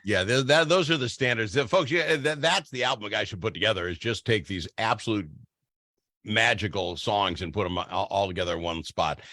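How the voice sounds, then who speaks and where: A man hosting a radio show, bantering about music in an animated, teasing, exclamatory way. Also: lowish voice, fairly quick, gravelly, no echo, studio quality.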